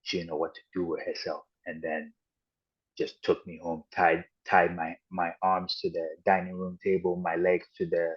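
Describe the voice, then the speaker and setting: A man raised in Ghana and the United States, a podcast guest recounting his childhood in a halting, reflective way with frequent restarts. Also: sits low, flat delivery, slightly rough. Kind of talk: personal storytelling in an interview. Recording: dull lo-fi audio.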